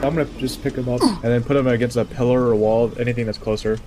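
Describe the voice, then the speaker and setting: A man's voice: mid-range voice, steady tone, quick pace, noisy background. A man playing a tabletop game, stating his character's actions plainly in conversational speech. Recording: background music under the voice. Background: music.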